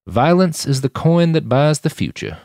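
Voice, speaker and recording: A man's voice: fairly high pitch, sing-song, normal speed, a little mellow, dry recording, pitched above the performer's own voice. A man acts out a sly, cynical mercenary boss from an audiobook. He is blunt and scoffing, swinging animatedly through his lines in a close, dry sound. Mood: persuasive. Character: sly, cynical, foul-mouthed male mercenary boss, long-lived. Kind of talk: audiobook character dialogue.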